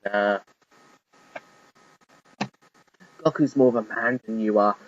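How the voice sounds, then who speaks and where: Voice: medium pitch, fairly quick, muffled recording, a little hiss or hum, voice pushed up, natural voice. A reader voicing a vain, scornful male creature with a sneer.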